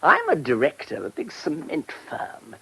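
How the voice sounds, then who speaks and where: An actor playing a brash, self-satisfied, money-minded English country-house owner in a radio drama, with breezy, chummy upper-middle-class slang. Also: male voice, fairly high pitch, huge pitch swings, moderate pace, vocal fry, fairly bright tone, husky, exaggerated voice, rough recording. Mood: smug, confiding. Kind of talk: British radio drama acting.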